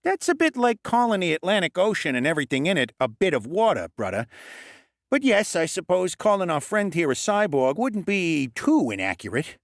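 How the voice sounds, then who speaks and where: A man's voice: high voice, very animated, normal speed, no echo, studio quality, pitched above the performer's own voice. A man voicing an impatient, clever male goblin who explains things in a know-it-all way. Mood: patient.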